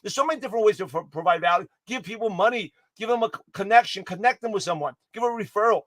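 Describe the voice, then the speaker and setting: A man giving an energetic livestream pep talk to his viewers, his voice hoarse and slightly raspy, rambling with many restarts and self-corrections. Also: sits high, quick pace, no room sound. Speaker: motivational speaker giving a daily pep talk.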